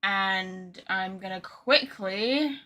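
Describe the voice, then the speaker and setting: A woman's voice: mid-range voice, drawn-out words, bright, forceful tone. A woman game streamer chatting casually to her viewers, rambling and poking fun at herself. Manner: chatty, rambling, self-deprecating. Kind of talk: casual live-stream chat.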